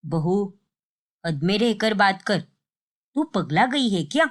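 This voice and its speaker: A woman's voice: mid-range voice, animated, takes it slowly. A woman voicing a stern old grandmother in a cartoon story, scolding bluntly and giving orders. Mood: stern, angry.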